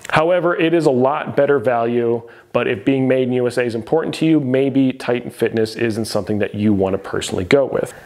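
A man's voice: mid-range voice, darkish tone. Conversational American man reviewing gear. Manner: conversational, explanatory. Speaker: host reviewing gym equipment. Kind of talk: casual product review to camera. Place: garage gym, indoors.

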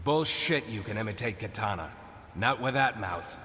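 A man's voice: fairly low pitch, expressive, moderate pace, close to an everyday voice. An actor playing a crude, sceptical tough-guy fighter in a video game. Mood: scornful, baffled. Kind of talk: video game character acting. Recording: long reverb, noisy background.

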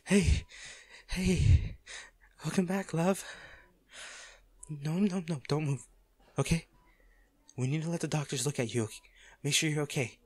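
Man acting as a slow-spoken, emotionally wounded lover. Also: a little high, darkish tone, breathy edge, no room sound. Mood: relieved, shaken. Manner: slow, halting, emotional delivery. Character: wealthy, devoted, emotionally wounded man in love. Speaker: voice actor in an audio roleplay. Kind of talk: intimate dramatic audio roleplay acting.

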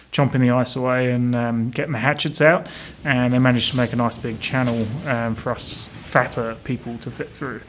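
A man at mid pitch talks through a caving trip in a steady, matter-of-fact way. A faint hiss of background noise sits under his voice. He sounds like an expedition member giving a documentary interview. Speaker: cave diver.

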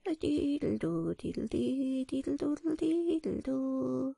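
A woman voicing a dazed, witless male thinker in a fairy tale, quietly humming nonsense syllables in a vacant singsong. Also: high-pitched, slow pace, vocal fry, slightly rough, no room sound, studio quality, pitched above the performer's own voice, heavily acted.

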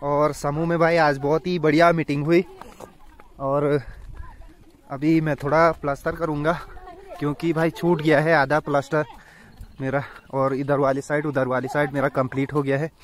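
A man's voice: a little high, level tone, moderate pace. A young man in his twenties talking casually to his viewers as a vlogger, filming himself with a phone held at arm's length outdoors in a mountain village. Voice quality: chatty, keeps saying "bhai". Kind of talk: casual vlog narration.

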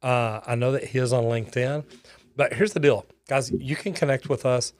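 An older man hosting a casual livestream, folksy and friendly, on a close boom mic in a small studio. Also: fairly even intonation, normal speed, darkish tone. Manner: folksy, thanks viewers by name. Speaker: host. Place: studio with shelves.

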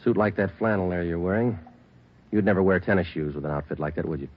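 An actor playing a dogged police detective who questions a suspect in a clipped, matter-of-fact way, in 1940s radio acting. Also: male voice, deep voice, fairly even intonation, quick pace, highs cut off. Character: dogged male police detective, calm and relentless.

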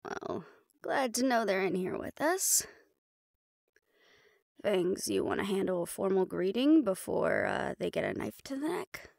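Casting: sardonic, stubborn huntress played by a woman, lively creaky voice, audio drama. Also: medium pitch, unhurried, dry recording, studio quality. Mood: dry, watchful. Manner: wry, defiant, casual. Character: stubborn, sardonic, tough female supernatural hunter. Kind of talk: audio roleplay acting.

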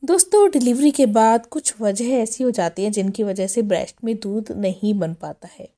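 A woman hosting a pregnancy health channel, explaining step by step and calling her viewers friends, her voice a little hoarse from a cough. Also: a little high, normal speed, mellow. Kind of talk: informational talk.

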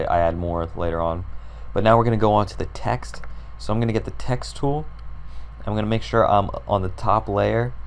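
A young man with a sore, hoarse throat and a deep, low voice talks through a computer design tutorial. He rambles and thinks aloud with plenty of ums and uhs while he clicks along. A faint hiss sits under the recording. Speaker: tutorial creator.

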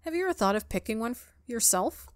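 A woman's voice: on the high side, animated, fast, no echo, clean studio sound, close to an everyday voice. A woman playing a skeptical, rattled college student in a visual novel, blunt and wary.

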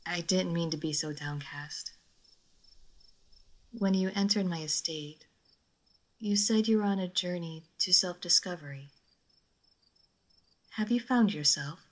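Woman playing a slow-spoken, wistful vampire lady. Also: on the low side. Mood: gently curious. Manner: soft, formal, wistful, sometimes near whispering. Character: centuries-old female vampire, gentle, melancholy lady of the manor. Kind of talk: intimate roleplay audio drama monologue.

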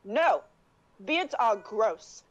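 Sassy young fantasy heroine voiced by a woman, higher register, assured and proud. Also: sing-song, very slow, dull audio, heavily acted. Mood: firm, teasing. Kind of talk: character voice acting audition.

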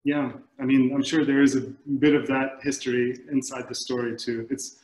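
A man in his forties speaks in a thoughtful, halting way as he searches for words. His voice has an average pitch and comes over a video call from an echoey room at home. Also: little pitch movement, a little mellow. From Middle East, born in Kuwait. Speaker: film director, guest. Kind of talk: thoughtful answers in a video panel discussion. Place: indoors, book-lined room at home. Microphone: computer mic on a video call.

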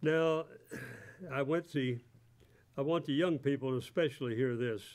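A man in his eighties with a mid-range voice speaks at a church pulpit. He gives a testimony from notes in a halting way, often repeating a word before he carries on. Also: mid-range voice, a little mellow. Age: 80s. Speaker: church member giving testimony. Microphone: microphone on a stand at the pulpit.

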